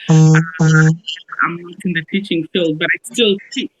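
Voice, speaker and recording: A woman's voice: on the low side, normal speed, soft background music, poor audio. A cheerful, upbeat woman from Zimbabwe introducing herself as a guest over a video call.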